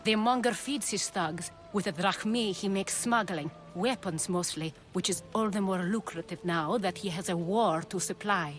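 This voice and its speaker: A woman's voice: mid-range voice, moderate pace, few pauses, lightly acted. Woman playing a poised, shrewd courtesan leader. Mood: determined. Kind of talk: video game cutscene acting. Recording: music behind the dialogue.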